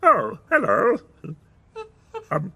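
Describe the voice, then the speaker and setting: Childish bumbling cartoon man, British, gravelly mumble, wildly swooping pitch, halting, nervous. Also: huge pitch swings, bright, forceful tone, exaggerated voice. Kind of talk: cartoon voice acting.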